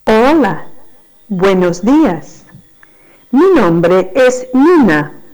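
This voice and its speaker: A speaker from Argentina gives a short greeting at a very slow, careful pace. The voice moves lively up and down. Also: medium pitch, low-quality recording. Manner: slow, careful self-introduction.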